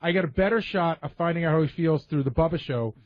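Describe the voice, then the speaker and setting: A man with a fairly high-pitched voice talks casually on a radio show. He tells stories in a conversational way, and the audio is somewhat dull and muffled. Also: fast talker. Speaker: radio show staff member. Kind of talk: casual radio talk, storytelling.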